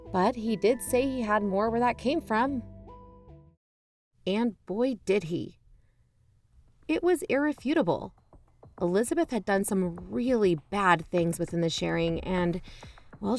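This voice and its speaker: An actress playing a suspicious, self-justifying investigator who hosts a podcast, narrating in an emphatic, confiding, conversational way. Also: female voice, medium pitch, a little mellow. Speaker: podcast host.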